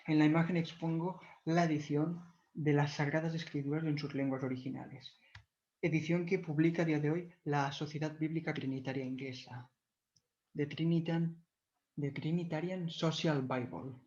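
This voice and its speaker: A man whose first language is Catalan gives a measured, devout explanatory talk, often pausing to correct himself. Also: fairly high pitch, fairly even intonation, a little mellow. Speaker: video lecturer. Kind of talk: explanatory talk on church history. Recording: dull lo-fi recording.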